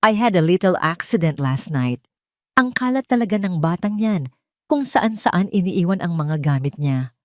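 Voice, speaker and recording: Woman narrator as rich stepmother, falsely sweet, dismissive, lowish female voice, dry storybook sound. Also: expressive, normal speed, no echo, close to an everyday voice. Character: cold, sugary rich stepmother, adult woman. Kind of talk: audio storybook drama.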